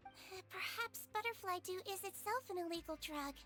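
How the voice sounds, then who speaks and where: A woman's voice: extremely high pitch, a little fast, pushed and bright, soft background music. A woman voicing a small, childlike head nurse in a video game, girlish, sweet and earnest. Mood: curious, thoughtful.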